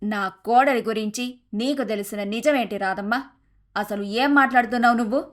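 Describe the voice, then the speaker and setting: A woman's voice: a little high, moderate pace, close to an everyday voice. A woman voicing a protective, firm mother-in-law in an acted moral story. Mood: indignant.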